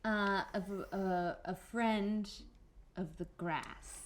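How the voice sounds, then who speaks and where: A woman's voice: medium pitch, steady tone, takes it slowly, a little hiss or hum. A woman playing a tabletop game, casually improvising and thinking aloud in a tentative way.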